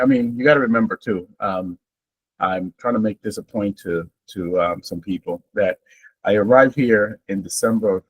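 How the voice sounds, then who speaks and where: Husky low-voiced man in his sixties. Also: rough recording. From Honduras. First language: Garifuna. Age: 60s. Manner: relaxed, rambling, many fillers and restarts. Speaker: guest. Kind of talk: interview, personal life story.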